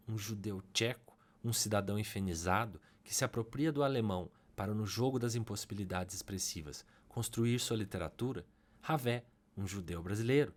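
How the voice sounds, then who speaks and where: A man with a Brazilian Portuguese accent narrating a scripted literary analysis in a measured, academic way, with emphases that rise on key ideas. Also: mid-range voice.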